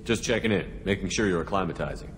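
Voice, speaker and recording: An actor playing a steady human military commander in a video game, asking direct, calm, probing questions in a businesslike way. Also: male voice, sits low, fast talker, over music, close to an everyday voice. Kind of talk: video game dialogue acting.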